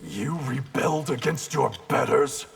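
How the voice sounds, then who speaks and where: Stern game ninja, super gravelly, slow and scornful. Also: male voice, medium pitch, loud music behind the voice. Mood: cold, disdainful. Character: cold, proud, disdainful male ninja warrior. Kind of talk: video game fight banter.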